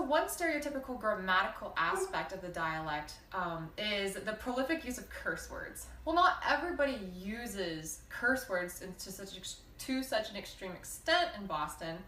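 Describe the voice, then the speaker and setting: A woman's voice: mid-range voice, sharp tone, slight background noise. A young woman from Alabama giving a class presentation, explaining with small restarts. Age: young adult. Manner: explanatory, with restarts and light laughs. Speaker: student presenter. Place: indoor room against a plain wall. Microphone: camera mic at a distance. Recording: roomy, distant camera audio.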